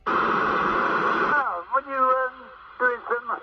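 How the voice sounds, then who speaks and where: A man's voice: very high voice, extremely slow. A man bantering casually over CB radio, with a teasing manner. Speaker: CB radio operator. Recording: distant, muffled CB radio with loud static.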